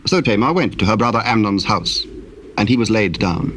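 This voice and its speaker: A man narrating a dramatized Bible, telling the story solemnly in measured, archaic English. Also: lowish voice, fairly bright tone, a little hiss or hum.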